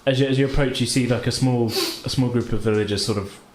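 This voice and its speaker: A man improvising narration as a tabletop game master in an informal, halting way. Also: mid-range voice, steady tone. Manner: halting, informal, hedging descriptions. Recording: roomy, light background noise.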